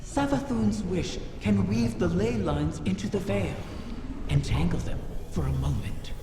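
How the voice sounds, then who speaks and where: A woman's voice: lowish voice, lively intonation. A woman voicing a sly, ancient female wish-dragon spirit in a video game, speaking theatrically with cryptic, silky taunts. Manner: slow, theatrical, silkily taunting. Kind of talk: dramatic video game dialogue. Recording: echoing long reverb, noisy game ambience.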